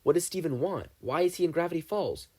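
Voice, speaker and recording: A man voicing an anxious, excitable young teenage boy in an audiobook reading, urgent and pleading. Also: on the high side, quick pace, husky, pitched above the performer's own voice. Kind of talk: fan fiction audiobook reading.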